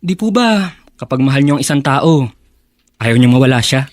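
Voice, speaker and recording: An actor playing an affectionate young grandson in a radio drama, speaking in a tender, respectful, earnest way. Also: male voice, medium pitch, lively intonation, normal speed, no echo, natural voice. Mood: earnest, worried.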